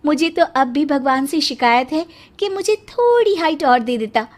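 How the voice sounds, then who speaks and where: A woman's voice: high-pitched, expressive, normal speed, voice pushed up. A woman voicing a proud, defiant young daughter-in-law in a cartoon story, breezy and self-assured. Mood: affronted.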